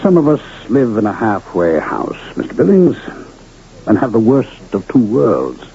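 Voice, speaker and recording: Grandiloquent charlatan medicine man, swooping low voice. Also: huge pitch swings, unhurried, creaky, dark tone, exaggerated voice. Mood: grave, measured. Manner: grandiloquent, theatrical, courteous. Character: smooth, cryptic travelling medicine man and charlatan illusionist. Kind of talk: 1980 radio drama acting. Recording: dull, noisy vintage radio broadcast.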